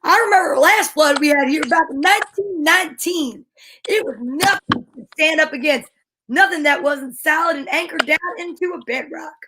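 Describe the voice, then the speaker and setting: Woman playing an earnest, high-voiced country boy. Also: normal speed, noisy background, close to an everyday voice. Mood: awed. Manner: folksy, aw-shucks, agreeable. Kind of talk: old-time radio drama acting.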